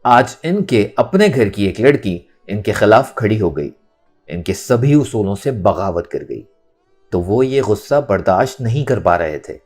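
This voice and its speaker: A male audiobook narrator with a low voice that moves freely up and down. He tells the story expressively and dramatically. Soft music plays behind him. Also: unhurried. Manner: expressive, acts out dialogue.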